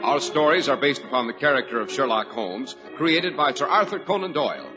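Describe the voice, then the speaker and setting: Old-time radio announcer guy, smooth and formal, loud music behind him. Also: medium pitch, animated, brisk, poor audio. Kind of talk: 1940s radio announcing and commercial.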